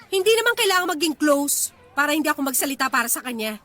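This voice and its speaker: A woman's voice: sits high, lively intonation, quick pace, pushed and bright. A woman acting a feisty, indignant, lovestruck young woman in an audio drama. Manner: indignant, combative. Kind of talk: acted Tagalog audio drama dialogue.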